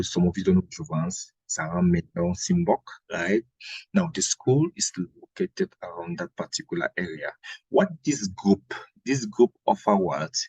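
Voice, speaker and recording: Cameroonian guy doing exam revision lesson, gravelly voice, dry close sound, repeats stuff to drill it in. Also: lowish voice, dry recording, poor audio. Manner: didactic, repeats phrases for emphasis, tags sentences with "right?". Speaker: history teacher.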